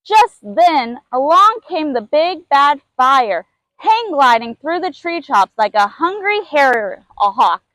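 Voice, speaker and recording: High-voiced woman reading a storybook. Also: sing-song, takes it slowly, fairly bright tone, dry recording, low-quality recording. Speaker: zoo keeper reading a story. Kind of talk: children's storybook read-aloud.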